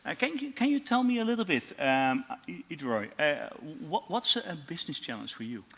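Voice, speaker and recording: Bright-voiced Dutch man moderating. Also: on the high side, very animated, gravelly, breathy edge. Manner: friendly, halting, many fillers. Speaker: lecturer and moderator. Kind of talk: moderating a panel.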